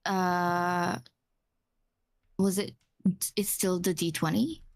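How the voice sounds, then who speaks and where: A woman's voice: fairly low pitch, fairly even intonation, drawn-out words, gravelly, a little hiss or hum. A woman chatting casually as a player in a tabletop role-playing game.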